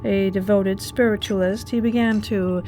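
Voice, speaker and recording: An actress playing the poised, seductive and sinister mistress of a haunted mansion, formal and controlled in a horror audio drama. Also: female voice, medium pitch, steady tone, moderate pace, mellow, slight background noise, lightly acted, poor audio. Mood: solemn.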